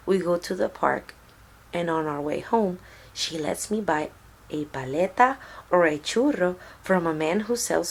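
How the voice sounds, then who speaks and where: A woman narrates a children's story at an unhurried, slow pace. Her voice sits fairly low, and she tells it expressively, like a deliberate read-aloud. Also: gravelly, slightly rough, poor audio. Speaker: storybook reader.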